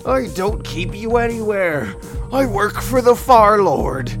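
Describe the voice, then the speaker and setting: A man's voice: sits high, big pitch swings, slow, pitched above the performer's own voice, full character voice. A man voicing a timid, frightened giant jailer who pleads anxiously and repeats himself. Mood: pleading. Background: background music.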